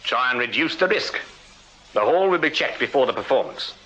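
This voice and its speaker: An actor playing a stern, sardonic Victorian police sergeant in a radio drama, brusque and commanding. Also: male voice, medium pitch, expressive, fairly quick, pushed and bright. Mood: businesslike. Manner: brusque, commanding, dryly sarcastic. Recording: dull, roomy, noisy radio drama copy.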